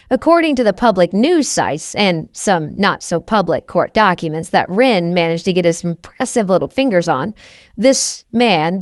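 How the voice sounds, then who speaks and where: A woman's voice: mid-range voice, no echo, clean studio sound. A woman reading a first-person novel aloud as an audiobook narrator.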